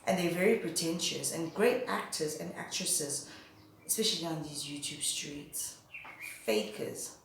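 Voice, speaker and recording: A woman's voice: medium pitch, fairly bright tone, heavy reverb. A woman vlogger, nasal from a cold, giving candid opinionated commentary full of rhetorical questions.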